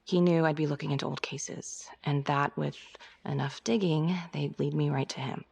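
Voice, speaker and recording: Casting: driven female detective for a game drama, gravelly and husky, terse, suspicious, naturalistic. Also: fairly even intonation, normal speed, a little mellow, full character voice. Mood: intent, suspicious. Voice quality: low, husky, creaky. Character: driven, suspicious female police detective on a serial killer case.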